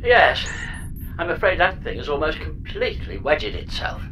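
A woman's voice: low-pitched, very animated, moderate pace, noisy background. A woman voicing a chirpy, scatterbrained space pod computer in a sci-fi audio comedy, speaking with whimsical, flippant, hammy relish. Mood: blithe.

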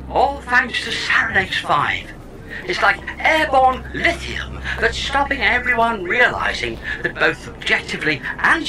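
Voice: mid-range voice, background noise. An actor voicing a sardonic, talkative companion who lives in someone's head, theatrical and mock-grand, in an audio comedy drama. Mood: wry explaining.

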